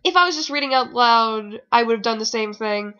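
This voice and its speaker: A woman's voice: fairly high pitch, a little fast, pushed and bright. A young woman reading fan fiction aloud in a casual, informal way, with rambling, sarcastic commentary. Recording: dull lo-fi home recording.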